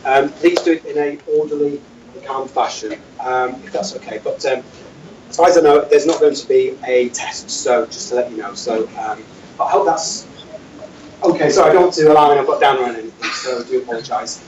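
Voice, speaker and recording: A man's voice: medium pitch, a little fast, natural voice. A man playing a nervous safety officer who hesitates and keeps apologising. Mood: apologetic. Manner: timid, hesitant, apologises constantly. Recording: roomy, distant, dull, noisy hall.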